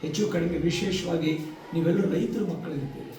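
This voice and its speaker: A man giving a motivational speech to students, emphatic, with rhetorical repetition and deliberate pauses. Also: fairly high pitch, darkish tone. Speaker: guest speaker addressing schoolchildren. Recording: echoey, distant microphone, faint noise.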